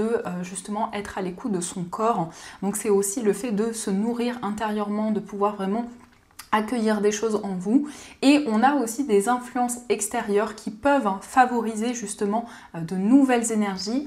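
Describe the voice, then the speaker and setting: A woman giving a gentle, soothing daily card reading, slightly hoarse from a cold. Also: medium pitch. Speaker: card reader.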